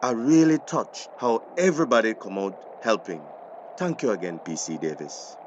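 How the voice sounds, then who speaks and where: A man's voice: lowish voice, expressive, normal speed, lightly acted. A man playing an earnest, grateful townsman in a radio drama. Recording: dull, slightly noisy drama recording.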